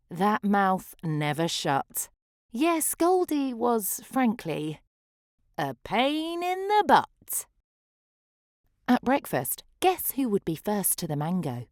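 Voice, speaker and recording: Lively, measured woman reading a children's story. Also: fairly low pitch, no echo, studio quality. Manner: theatrical, rhythmic delivery of rhyme. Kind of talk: expressive picture-book read-aloud.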